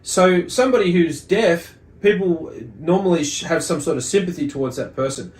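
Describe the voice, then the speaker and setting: A man giving an explanatory religious lesson as a teacher, speaking in a measured way with deliberate emphasis and repetition. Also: fairly high pitch. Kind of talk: explanatory religious lesson, reading and commenting on a text. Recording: echoey, roomy sound.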